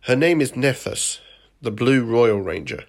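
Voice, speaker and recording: A man playing an earnest, somber hero in an amateur superhero audio drama, speaking in a grave, measured way. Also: mid-range voice, expressive. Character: Mark, somber earnest male ranger hero seeking help. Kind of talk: amateur audio fan fiction dialogue.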